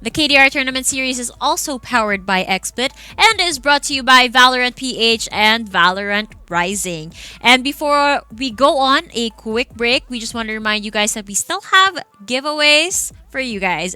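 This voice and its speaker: Bright, high-voiced Filipino woman esports host. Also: animated, normal speed, clean tone, no room sound. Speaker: esports caster and panel host. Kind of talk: esports panel analysis, casual and bilingual. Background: faint background music.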